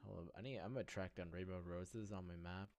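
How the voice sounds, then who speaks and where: A man's voice: low voice, fairly even intonation, quick pace, no echo. A man giving casual gameplay commentary, thinking aloud and often hesitantly trailing off mid-thought. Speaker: gamer doing a playthrough. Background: loud video game music.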